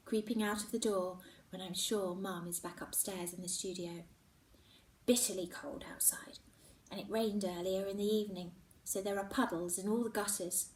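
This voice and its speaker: A woman reading a children's novel aloud in first person, expressive and dropping into hushed whispers. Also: medium pitch, distant mic, slight background noise. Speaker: children's book reader. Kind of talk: first-person storybook narration. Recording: roomy echo, set back from mic.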